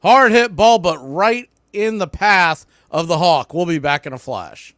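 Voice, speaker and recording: Guy doing live baseball play-by-play, kinda high voice, short clipped calls that jump up on big plays. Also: unhurried, a bit bright. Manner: clipped calls that swell on the action. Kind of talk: live radio-style play-by-play commentary.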